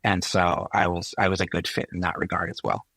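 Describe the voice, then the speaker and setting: Middle-aged male physician guest, low husky voice, unhurried and reflective, dry close sound. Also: level tone, fairly bright tone, dry recording. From Chile. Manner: thoughtful, unhurried, often pausing to find words. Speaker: interview guest, physician and technologist. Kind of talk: reflective career storytelling.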